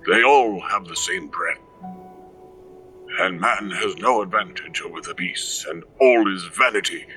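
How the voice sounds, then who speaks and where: Slow, low-voiced solemn reverend, acted by a man. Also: expressive, bright, forceful tone, far from the mic, soft background music, heavily acted, poor audio. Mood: solemn, prayerful. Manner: solemn, preachy, measured delivery. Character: solemn village reverend leading a burial. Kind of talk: scripted audio drama, scripture recitation.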